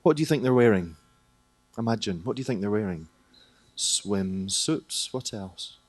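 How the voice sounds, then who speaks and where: A man's voice: fairly low pitch, expressive, moderate pace. Chatty male conference presenter. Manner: chatty, jokey, asks the audience questions. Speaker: conference presenter, teacher trainer. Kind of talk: lively conference talk with audience questions. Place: conference hall.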